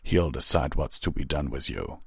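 Stern stallion commander for a storybook, voiced by a man: low, measured, authoritative, dry studio sound. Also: big pitch swings, gravelly, husky, no echo, heavily acted. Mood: measured, firm. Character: stern, calm, commanding male pony soldier.

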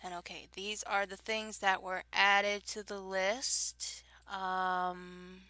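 Giggly Southern woman, slow and bright. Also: mid-range voice, level tone, no room sound. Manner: giggly and rambling, with many restarts. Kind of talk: casual chat recorded alone.

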